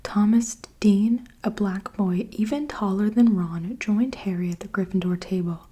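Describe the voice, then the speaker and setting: A woman reading a storybook aloud in a soft whisper. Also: mid-range voice, unhurried, slightly rough. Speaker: reader.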